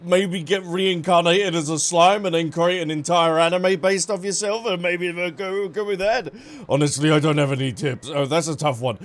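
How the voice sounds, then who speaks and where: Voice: medium pitch, moderate pace. A game streamer giving casual, playful reaction commentary with a jokey, chatty manner on a close microphone. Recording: faint game music behind.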